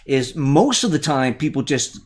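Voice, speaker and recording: A man talks through his thoughts on a live stream in a loose, unscripted way. He restarts his sentences and corrects himself as he goes, the way a channel host does when thinking out loud. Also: medium pitch, sing-song, measured pace. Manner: thinking aloud, self-correcting, rambling. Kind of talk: casual live commentary.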